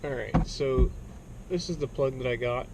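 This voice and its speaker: A man's voice: medium pitch, normal speed. A man casually narrating his own hands-on repair vlog in a plain-spoken, wry way. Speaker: DIY truck repair vlogger. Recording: background noise of vehicle and hum.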